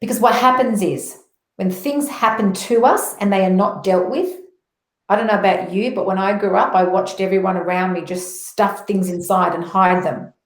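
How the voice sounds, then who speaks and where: A roomy, echoing recording of an Australian woman in middle age with a mid-pitched voice. She shares personal spiritual testimony as a guest, telling her story in an earnest, emphatic way. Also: normal speed. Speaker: interview guest, motivational speaker. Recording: roomy echo on voice.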